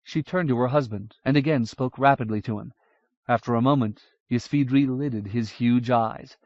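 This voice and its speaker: A man reading a fantasy novel aloud in a measured, even storytelling manner. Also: medium pitch, dry recording. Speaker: audiobook narrator. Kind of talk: scripted fantasy narration. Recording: dull dry audiobook recording.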